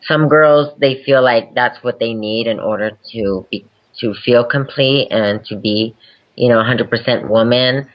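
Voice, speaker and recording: A woman's voice: very deep voice, a little slow. A young adult woman speaking candidly as an interview guest, laughing easily as she talks. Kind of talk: casual interview chat. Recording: dull lo-fi podcast audio.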